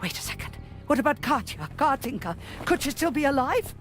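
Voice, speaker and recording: A vengeful old granny for a video game. Her voice is high and swings widely in pitch. She scolds bluntly and dramatically, with faint music behind her. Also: sing-song, brisk. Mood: indignant, anxious. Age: older.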